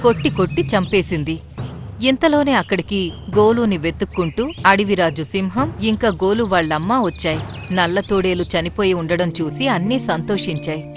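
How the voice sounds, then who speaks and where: A woman's voice: lowish voice, brisk, background noise. A woman narrating a scripted children's story.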